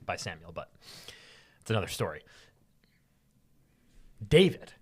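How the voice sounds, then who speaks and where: A man co-hosting a podcast, speaking in an expressive, informal way with a theatrical streak. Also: sits low, measured pace, husky. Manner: slangy, expressive, theatrical when reading. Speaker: podcast co-host. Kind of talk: casual podcast chat with animated Bible reading.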